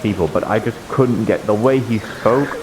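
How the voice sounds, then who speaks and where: A man playing an earnest, bookish holy knight in online roleplay, speaking haltingly and easily flustered. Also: lowish voice, a crowd in the background, lightly acted, poor audio. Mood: uneasy, confiding. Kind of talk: improvised online roleplay chat.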